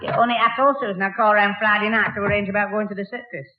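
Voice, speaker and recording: A man playing a gormless, giggly young pal, stammering and slow on the uptake. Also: high-pitched, level tone, close to an everyday voice. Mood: chatty. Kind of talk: 1960s radio sitcom acting. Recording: noisy, echoing, tinny old radio recording.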